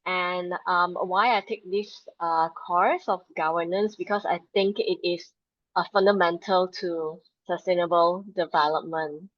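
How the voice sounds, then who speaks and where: A woman's voice: on the low side, fairly even intonation, a little slow, no room sound, lo-fi sound. A woman, hesitantly introducing herself to her class. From Kuala Lumpur, Malaysia. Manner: hesitant, unsure what to say. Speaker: master's student.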